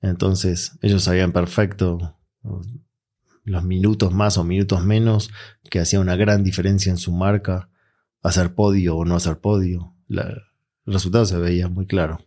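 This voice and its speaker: Deep, mellow Argentine man. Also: normal speed. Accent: Argentine Spanish. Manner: relaxed, reflective, with frequent fillers. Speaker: guest, mental coach. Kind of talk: interview answers.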